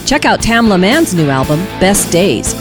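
A woman's voice: medium pitch, big pitch swings, moderate pace, over music. A middle-aged woman casually telling stories on a podcast. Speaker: podcast guest and co-host telling industry anecdotes.

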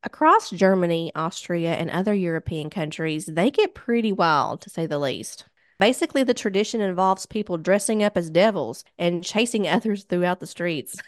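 A woman's voice: lowish voice, moderate pace, no room sound, clean studio sound. An American woman hosting a podcast, telling spooky legends in a casual, joking, profane way with plenty of banter.

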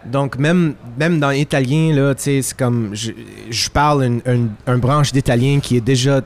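A man's voice: mid-range voice, steady tone, normal speed. A Québécois musician guest in a casual interview, switching between French and English with frequent restarts. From Quebec, Canada.

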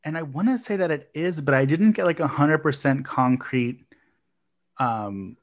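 A man's voice: a little high, unhurried. A man co-hosting a podcast, chatting in an easygoing, joking way. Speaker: podcast co-host. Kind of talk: casual chat.

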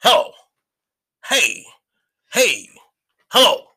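Man, podcast host, stutters, very animated pitch, bright tense voice, slightly husky. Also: very slow. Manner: joking, loud outbursts, playful voices. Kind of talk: casual comedic podcast banter.

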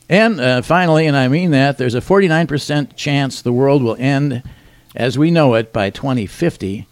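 Middle-aged male co-host, gravelly voice, dry close sound, deadpan joker. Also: mid-range voice, unhurried, long pauses, dry recording. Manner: wry, deadpan punchlines, chuckles at own jokes. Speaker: radio show co-host. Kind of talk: joking news rundown.

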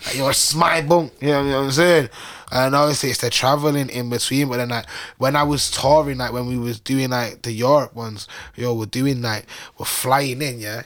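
Young man, slangy, rambling, middle pitch, casual chat. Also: steady tone, normal speed, hardly any pauses, fairly bright tone. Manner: slangy, rambling, many fillers and restarts. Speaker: guest artist.

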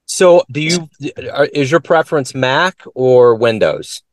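A man's voice: mid-range voice, animated, a little slow, dry recording. A man hosting an interview, asking curious, friendly questions and lifting his voice on each question. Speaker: interviewer and show host. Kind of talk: conversational interview.

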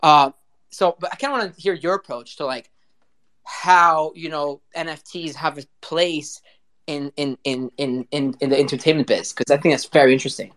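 Interview host, mid-range voice, loose informal chat, halting and quick to joke. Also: medium pitch, a bit bright. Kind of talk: casual chat.

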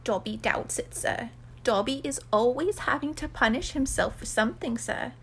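Woman acting small male storybook elf, very high squeaky voice, servile, earnest, pleading. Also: normal speed, fairly bright tone, husky. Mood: anxious, deferential. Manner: earnest, pleading, speaks of self in third person, deferential.

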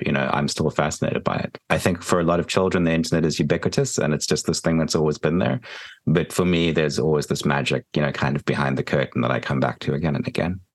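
Very deep-voiced South African man. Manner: reflective, with frequent ums and restarts. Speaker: guest. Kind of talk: podcast interview.

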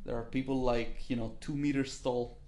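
A man's voice: mid-range voice, steady tone, normal speed, warm tone. A man hosting a podcast in casual, informal banter with the odd mild swear word. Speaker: podcast host.

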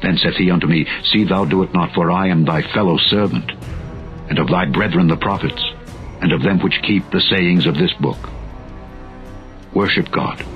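Deep-voiced man solemnly reading scripture. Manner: solemn, measured, formal. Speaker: narrator. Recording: dull lo-fi audio with music behind. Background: background music.

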